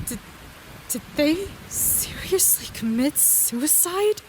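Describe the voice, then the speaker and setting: A woman's voice: sits high, expressive, slow pace, a little mellow, a little hiss or hum, rough recording. A woman playing an imperial soldier in a fantasy audio drama. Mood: stunned.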